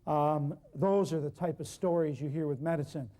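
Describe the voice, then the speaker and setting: A man teaching a natural healing workshop to a live class, talking loudly and emphatically in a folksy way, with lots of repeated lists of words. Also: a little high, moderate pace.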